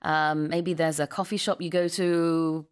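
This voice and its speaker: A woman's voice: low voice, flat delivery, clear, resonant tone. A woman hosting a morning radio show from a studio, reading out news items and chatting with a guest. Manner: brisk, reads the Korean parts much faster than the English. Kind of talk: bilingual morning radio talk.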